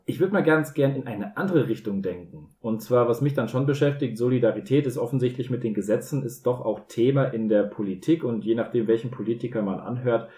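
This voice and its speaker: A young man from Bavaria talks through a reflective conversation and keeps raising open questions in a thoughtful way. His voice sits at a middling pitch. The room around him is echoey. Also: dark tone.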